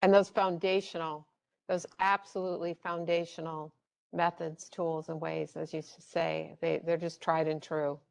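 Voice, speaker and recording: Woman moderator, very low and monotone, conversational, halting emphasis. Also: vocal fry. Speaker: webinar moderator.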